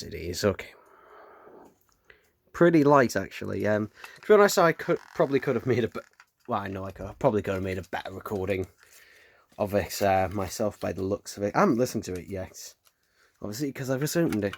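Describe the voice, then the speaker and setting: A British man with a croaky voice from hay fever, chatting casually as he unboxes and rambles with lots of fillers and restarts. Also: fairly low pitch, a little hiss or hum. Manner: rambling, filler-heavy, self-correcting. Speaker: unboxing vlogger. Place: room at home with window open.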